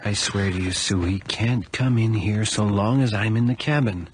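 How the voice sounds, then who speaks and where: A man's voice: lowish voice, normal speed, a little hiss or hum, close to an everyday voice. An actor playing a secretive, haunted man pleading earnestly in a radio horror drama. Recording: radio drama with music behind.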